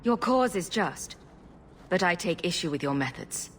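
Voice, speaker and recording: A woman's voice: lowish voice, expressive, moderate pace, a little hiss or hum, lightly acted. A woman playing a compassionate champion in a video game, composed and articulate in delivery. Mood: measured. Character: compassionate, level-headed female champion mage. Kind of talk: dramatic video game acting.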